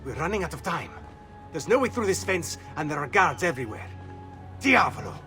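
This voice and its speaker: An Italian rebel leader in a video game cutscene, his voice on the high side. He is earnest, wary and commanding, with music clearly playing behind him. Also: very animated, a little fast, pushed and bright. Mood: tense, frustrated.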